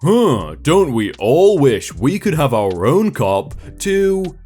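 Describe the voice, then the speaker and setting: Male narrator as a sneering, sarcastic zoo visitor, slow drawl. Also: a little high, sing-song, mellow, breathy edge, faint music behind, exaggerated voice.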